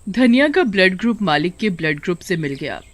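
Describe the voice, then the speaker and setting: A woman's voice: lowish voice, big pitch swings, brisk. A woman narrator reading a scripted moral tale as storytelling.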